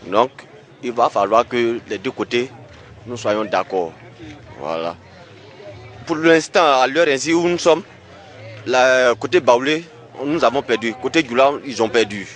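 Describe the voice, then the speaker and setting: A man with an Ivorian accent, a local resident making a halting, repetitive appeal outdoors. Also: medium pitch, a bit bright.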